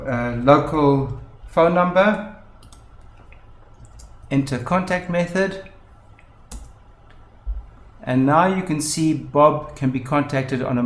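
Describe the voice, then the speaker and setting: A man presenting a software tutorial, explaining methodically step by step. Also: on the high side. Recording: roomy, echoing voice-over.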